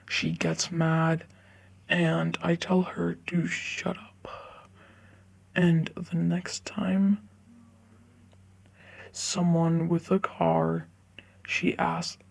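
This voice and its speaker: A woman reading online forum stories aloud in a halting way, often stumbling over the words. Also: deep voice, creaky. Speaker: narrator reading online stories for a video channel.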